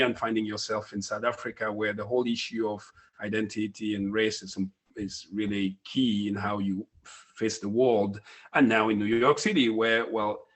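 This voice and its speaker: A middle-aged man hosting a panel discussion over a video call from a home study, asking thoughtful, measured questions. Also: medium pitch, a bit bright. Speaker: host.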